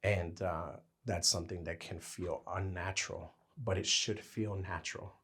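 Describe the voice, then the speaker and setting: A man co-hosting a podcast in casual chat, losing his voice and thinking out loud as he talks. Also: low voice, fairly even intonation, moderate pace, gravelly, husky. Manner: talks his thoughts out as he goes. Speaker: podcast co-host.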